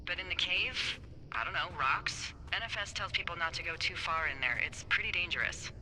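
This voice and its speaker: A woman's voice: on the low side, fairly quick, pushed and bright, slight background noise, poor audio. A woman playing a wry, teasing fire lookout supervisor in naturalistic video game dialogue, playful and sarcastic. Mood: dry, playful. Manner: playful, sarcastic, hesitant when earnest.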